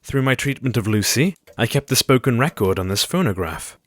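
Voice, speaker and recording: A man acting in an audio drama as a gentle, troubled Victorian doctor with courteous, formal speech. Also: medium pitch, lively intonation, a little fast. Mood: grateful, earnest.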